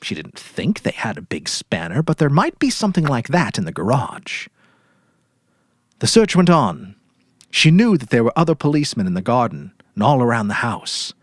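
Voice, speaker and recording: A storyteller reads in a dramatic audiobook style. The pitch moves very widely from phrase to phrase, and the sound is dry, with no room around it. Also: big pitch swings, moderate pace, no echo. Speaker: story narrator.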